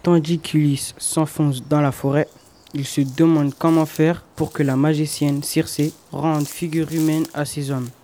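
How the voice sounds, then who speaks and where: A man's voice: fairly high pitch, level tone, unhurried, dark tone, a little hiss or hum. A teenage boy reading scripted story narration for an audio drama.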